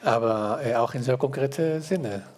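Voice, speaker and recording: A man's voice: mid-range voice, measured pace, a little mellow, slight background noise. A man speaking as a panel guest, hesitant, with small grammar slips in German. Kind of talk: panel discussion.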